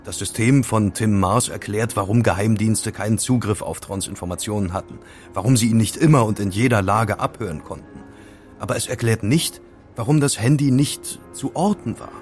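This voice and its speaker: An actor playing a casual amateur sleuth who recounts intrigue in a wry, conversational way in an audio drama. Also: male voice, lowish voice, normal speed, faint music behind, close to an everyday voice. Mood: explaining. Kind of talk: German audio drama dialogue.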